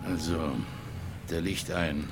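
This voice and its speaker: An actor playing a police chief in a German radio drama, businesslike and apologetic but dryly needling, like an old friend. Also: male voice, sits low, level tone, takes it slowly, over loud music. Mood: dry, teasing. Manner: businesslike, hesitant, dryly teasing. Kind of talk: German radio drama acting, office dialogue.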